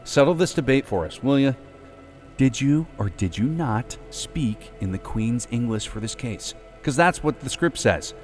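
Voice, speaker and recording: A man's voice: mid-range voice, lively intonation, unhurried, faint music behind, pitched above the performer's own voice, close to an everyday voice. A man voicing Ross, a brash, insulting male skeleton actor in a storybook reading. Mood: bossy. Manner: pushy, sarcastic, scolding. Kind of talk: storybook character voice.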